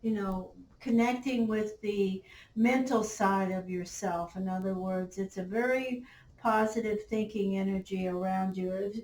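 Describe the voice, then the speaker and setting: A woman doing a tarot reading, losing her voice a little, who talks slowly with many fillers and pauses. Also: mid-range voice, far from the mic, slight background noise. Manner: slow, halting, many fillers. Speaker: tarot reader. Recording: roomy, off-mic, faint ambience.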